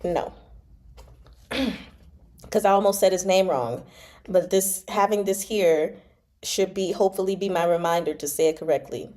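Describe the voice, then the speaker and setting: A woman hosting a read-along, reading a novel aloud and reacting in an informal, expressive, slangy way. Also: medium pitch, measured pace, long pauses. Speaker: host of a live book read-along.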